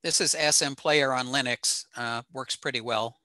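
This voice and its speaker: Older man presenting, bright close voice. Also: medium pitch, fairly even intonation, moderate pace, no echo. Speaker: presenter at an amateur radio talk. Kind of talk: informal technical slide presentation. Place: small room at home, on a video call.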